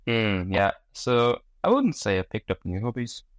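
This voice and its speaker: Low-voiced man chatting, dry close sound. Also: very animated, a little slow, dry recording. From Germany. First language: German. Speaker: interview guest. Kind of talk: casual interview chat.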